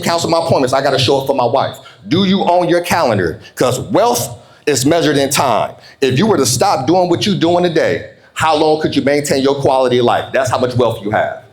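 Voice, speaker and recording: A man, middle-aged, delivering a motivational speech in an informal, folksy way, calling on his listeners and slowing down for emphasis on key phrases. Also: fairly high pitch. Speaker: motivational keynote speaker. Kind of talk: motivational keynote speech to an audience.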